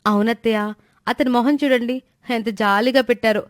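A woman's voice: on the high side, steady tone, normal speed, no echo, studio quality, close to an everyday voice. A woman voicing a timid, respectful young wife in a moral story, anxious and coaxing in manner. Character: timid, dutiful young wife and daughter-in-law. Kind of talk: animated moral story dialogue.

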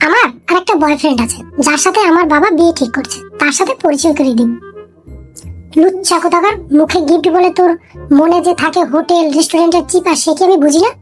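Lady doing a bossy young cartoon girlfriend, super high voice, coy n scheming. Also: fast. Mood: scolding. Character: scheming, bossy young girlfriend, sweet-talking and sharp-tongued. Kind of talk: comic cartoon dialogue. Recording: music behind the voice.

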